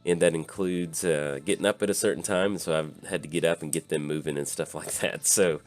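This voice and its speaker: A tired man with a slightly raspy voice, hosting and casually commenting on his own card game as he plays, thinking aloud with lots of pauses. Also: very deep voice, steady tone, faint music behind. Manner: easygoing, thinks aloud with many filler pauses. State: tired from little sleep. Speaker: channel host.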